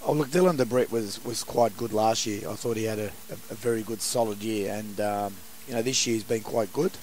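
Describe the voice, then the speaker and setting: A hoarse man, a football coach answering post-match questions in a halting way. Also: on the low side, a little mellow, a little hiss or hum, poor audio. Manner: halting, many restarts and fillers. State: hoarse, has lost his voice.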